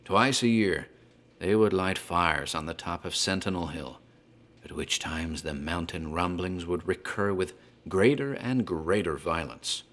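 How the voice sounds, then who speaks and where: A man playing a talkative rural tavern landlord who recounts a local horror tale in a measured, ominous manner. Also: low-pitched, a bit bright. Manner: measured, ominous, literary phrasing. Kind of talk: dramatic horror storytelling. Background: music.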